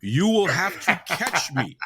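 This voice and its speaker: A man's voice: sits high, big pitch swings, a little slow, bright, forceful tone, a little hiss or hum. A man with a Boston accent hosting a wrestling interview, chatting in a jokey, rambling, self-deprecating way. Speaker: podcast host. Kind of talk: casual interview chat.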